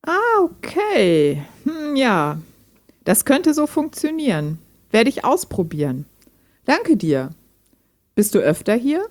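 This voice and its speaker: A woman's voice: fairly high pitch, huge pitch swings, slow, a little mellow, full character voice. A woman playing a lonely, reserved hobby programmer in an audio drama, speaking in a dry, direct way. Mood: probing, interested. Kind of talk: amateur audio drama dialogue, read chat.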